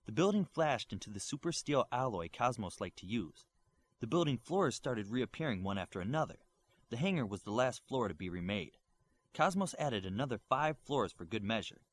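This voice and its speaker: Male audiobook narrator, mid-pitched, lively intonation, expressive read. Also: moderate pace. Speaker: audiobook reader.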